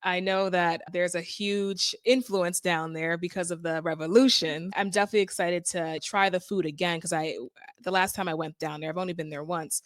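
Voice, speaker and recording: A woman's voice: on the low side, steady tone, moderate pace, no echo, clean studio sound. A woman with a Northeastern US accent interviewing a guest, bubbly and laughing often. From Connecticut. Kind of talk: upbeat casual interview.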